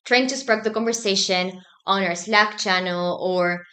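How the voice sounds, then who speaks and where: A woman's voice: mid-range voice, bright, forceful tone. Young woman, thoughtful guest. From Argentina. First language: Spanish. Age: young adult. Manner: thoughtful, measured, frequent ums. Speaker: student society president, guest. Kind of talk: casual interview talk.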